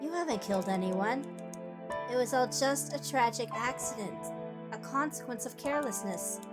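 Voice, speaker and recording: Visual novel mentor: woman as blunt yet consoling inner guide, measured, higher-pitched, music underneath. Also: lively intonation, close to an everyday voice. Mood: firm, reassuring. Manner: measured, counselling. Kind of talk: dramatic visual novel reading.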